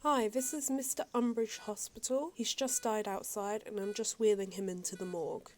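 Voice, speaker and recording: A woman playing a deadpan, matter-of-fact hospital orderly in an amateur audio drama. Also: mid-range voice, normal speed, natural voice.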